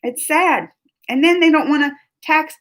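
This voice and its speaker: A woman's voice: high-pitched, a little slow, fairly bright tone. A woman in her thirties hosting a casual live stream, talking in an emotional, rambling way with many restarts and fillers. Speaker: livestream host.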